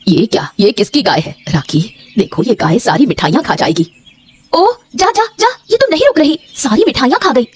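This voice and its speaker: A woman voicing a brash sweet-shop woman in an animated Hindi moral story, with an exaggerated, expressive delivery. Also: fairly high pitch, normal speed, a little hiss or hum. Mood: outraged. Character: brash, gloating sweet-shop women and puzzled village wife.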